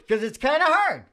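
A man's voice: extremely high pitch, bright, forceful tone. An older man, around his sixties, hosting with rambling, joking casual banter between songs into a close stand mic. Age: older, about 60s. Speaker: musician and show host. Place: small wood-panelled room, indoors. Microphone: stand microphone, close. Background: acoustic guitar.

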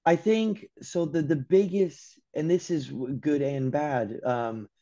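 Young LA man, guest on a video-call podcast; lively storyteller who voices quotes and swears, mellow tone, dull audio. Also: a little high, slow. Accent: American. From Los Angeles, USA. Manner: animated storyteller, acts out quotes, swears casually. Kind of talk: casual interview chat. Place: room at home by a window.

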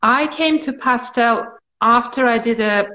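A woman's voice: fairly high pitch, measured pace, pushed and bright, echoey room, dull audio. A woman with a British accent, a portrait artist, answering interview questions in a friendly way over a video call from her living room. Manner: friendly, candid explanations.

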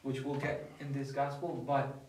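Young priest talking in an echoey room. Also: male voice, medium pitch, moderate pace, recorded from a distance, slight background noise. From not from the US. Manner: animated storyteller, many restarts and fillers. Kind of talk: casual talk on scripture. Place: dining room at a table with others. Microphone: far camera.

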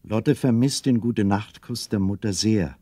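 A man's voice: lowish voice, moderate pace, dark tone, breathy edge, dry recording. A man narrating a scripted children's radio play as a storyteller.

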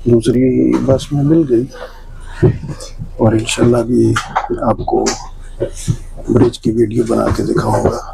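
A man with a mid-range voice narrates a travel tour on location. He explains casually and haltingly, often pausing partway through a sentence. Clear vehicle and ambient noise runs behind his voice. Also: medium pitch, little pitch movement. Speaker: travel vlogger giving a walking tour.

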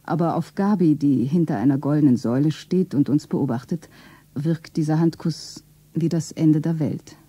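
A woman's voice: low-pitched, moderate pace, mellow, slightly rough, no room sound. An actress playing an elegant, self-satisfied woman of about thirty-five, who narrates herself with cool poise in a radio drama. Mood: cool, composed.

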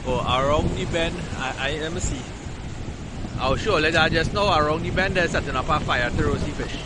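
A young man with a Trinidadian accent, casually commentating a fishing trip outdoors in an excitable, teasing, encouraging way. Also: a little high, moderate pace, very noisy. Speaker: fishing vlogger. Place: rocky riverside outdoors. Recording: loud music over outdoor audio.

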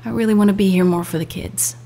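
An actress playing a loving, worried working mother and wife in a naturalistic radio play, with a warm, intimate, conversational manner. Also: medium pitch, steady tone, fast talker, natural voice. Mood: tired, hopeful.

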